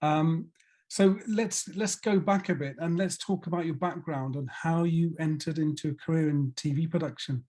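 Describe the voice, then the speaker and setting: A male interview host with a somewhat high, mellow voice. He talks casually and gushes with enthusiasm as he leads into his questions. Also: fairly even intonation, normal speed. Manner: enthusiastic, gushing praise.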